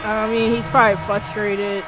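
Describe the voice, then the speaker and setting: A woman's voice: mid-range voice, flat delivery, moderate pace, over music, low-quality recording. A woman doing upbeat, chatty color commentary, full of fillers and easy laughter. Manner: upbeat, chatty, laughs easily, lots of likes. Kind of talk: casual sports commentary banter.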